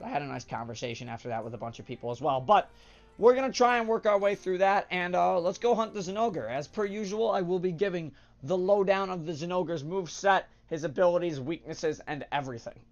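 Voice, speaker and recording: A young man with a hoarse, sore-throated voice, casually commenting on a game he plays, with filler sounds. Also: on the high side, fairly quick, dull audio. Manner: casual, upbeat explanatory, frequent "uh" fillers. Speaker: gaming channel host. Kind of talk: let's play commentary. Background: game music.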